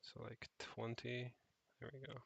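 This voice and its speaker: A man giving casual think-aloud commentary as he codes, muttering to himself in a halting way. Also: medium pitch, level tone, vocal fry, a bit bright, husky, no room sound, dull audio. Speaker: programmer streaming own project.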